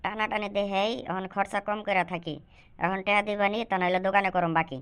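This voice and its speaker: A woman's voice: medium pitch, fairly even intonation, fairly quick, a bit bright, smooth, lightly acted. A woman voicing a cheeky, demanding school-age nephew who argues in rhyme in a comic cartoon. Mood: defiant. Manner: cheeky, argumentative, rhyming comebacks. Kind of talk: rhyming comic cartoon dialogue.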